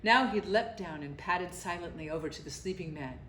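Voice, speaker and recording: A woman reading a children's story aloud in an expressive, narrated style. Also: fairly low pitch, moderate pace. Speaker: storybook reader. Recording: echoey room with faint noise.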